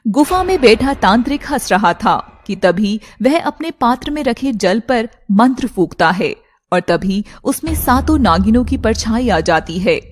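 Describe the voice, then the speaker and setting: A woman's voice: fairly high pitch, big pitch swings, measured pace. A woman giving scripted narration for a cartoon story.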